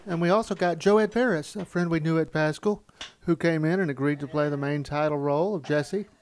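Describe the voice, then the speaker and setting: A man's voice: on the high side, brisk, darkish tone, slight background noise. A man narrating a retrospective about amateur filmmaking in a conversational, storytelling way. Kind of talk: reminiscing documentary narration. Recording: voice-over with music behind. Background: music.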